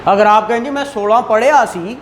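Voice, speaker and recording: A woman's voice: on the high side, moderate pace. A woman with a Pakistani accent giving an explanatory law lecture, often checking that students follow with short tag questions. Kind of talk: explanatory classroom lecture mixing Urdu and English legal terms. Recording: clearly noisy room recording.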